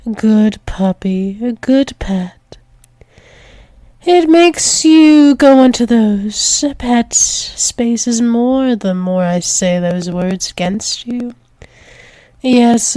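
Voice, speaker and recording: A woman plays a dominant female alpha werewolf demon doting on her lover in an ASMR roleplay. Her voice is fairly high and soft-edged, and she speaks extremely slowly, coaxing and soothing with frequent drops into whispers. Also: no room sound. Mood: praising, teasing. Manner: coaxing, soothing, slow, often dropping into whispers.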